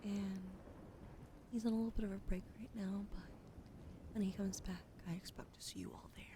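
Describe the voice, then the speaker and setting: A woman livestreaming ASMR in a soft, breathy whisper and hushed casual chat, with a slightly weak, wheezy throat, speaking gently to her viewers up close to the mic. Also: medium pitch, steady tone, a little fast, background noise. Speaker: streamer hosting a live ASMR broadcast.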